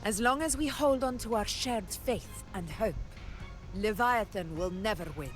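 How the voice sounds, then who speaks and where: An actress playing a noble, steadfast warrior leader in a fantasy game, in a measured, solemn and earnest manner. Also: female voice, medium pitch, faint music behind, close to an everyday voice. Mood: resolute. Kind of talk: dramatic fantasy game acting.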